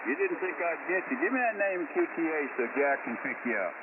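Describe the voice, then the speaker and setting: A man's voice: on the high side, big pitch swings, a little fast, recorded from a distance. A man working as a ham radio net controller, chatting casually over the air in a folksy, easygoing way. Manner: folksy, easygoing buddy talk. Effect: heard over amateur radio. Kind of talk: casual amateur radio chat. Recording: tinny, noisy ham radio transmission. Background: radio static and noise.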